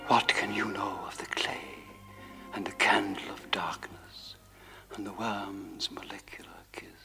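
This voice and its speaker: An actor playing a dead poet's ghostly voice, reciting verse in a breathy whisper for a radio drama. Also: male voice, fairly low pitch, level tone, unhurried, over music. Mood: eerie, solemn. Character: ghostly whispering male poet from beyond the grave.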